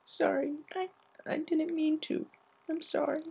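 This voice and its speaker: A timid little girl pony in a story reading, acted out by a woman. Her voice is high and small. She sounds tearful, hesitant and apologetic. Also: little pitch movement, measured pace, creaky, a little mellow. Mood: tearful, sorry.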